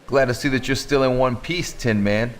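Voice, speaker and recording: An actor playing a cocky young hacker and extraction specialist in a video game, slangy and wry. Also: male voice, medium pitch, normal speed, slight background noise, natural voice. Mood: cocky, warning. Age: young adult. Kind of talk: video game cutscene acting.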